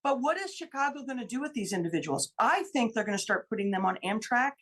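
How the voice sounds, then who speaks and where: A woman's voice: fairly high pitch, lively intonation, fast talker, fairly bright tone, breathy edge. A woman who is a state senator, arguing her case emphatically on a radio interview and repeating key numbers for stress. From Illinois. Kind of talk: radio interview, political argument.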